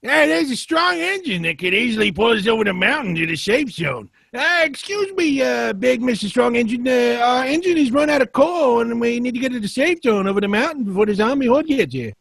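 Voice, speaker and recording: A man's voice: extremely high pitch, big pitch swings, brisk, creaky, hardly any pauses, fairly bright tone, slightly rough, dry recording, exaggerated voice. A man voicing a worried, pleading zoo animal in a spoof storybook.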